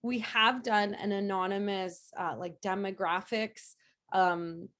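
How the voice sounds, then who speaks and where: Hoarse woman answering lecture questions. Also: medium pitch, fairly even intonation, slow pace, fairly bright tone. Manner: hesitant, many fillers and restarts. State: hoarse, getting over a cold. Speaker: nursing professor giving a lecture.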